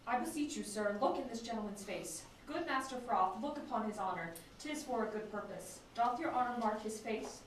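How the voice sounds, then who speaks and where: A woman's voice: a little high, animated, normal speed, hardly any pauses. A woman student reading old comic stage dialogue aloud in a classroom, picked up away from the microphone. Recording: very echoey room, distant mic, faint noise.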